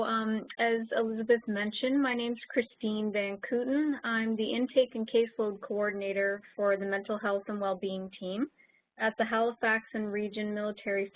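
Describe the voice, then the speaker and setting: A woman coordinator introduces herself in a friendly, informative way. Her voice sits at a middle pitch and sounds dry and close. The audio is narrow, like a phone call. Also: dry recording. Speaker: intake coordinator. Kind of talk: self-introduction at an online session.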